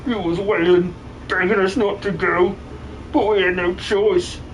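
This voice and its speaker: A man voicing an excitable, colloquial miner villager in an improvised video game fan dub. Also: high-pitched, unhurried, slight background noise, low-quality recording. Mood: solemn, conflicted. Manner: colloquial, exclamatory.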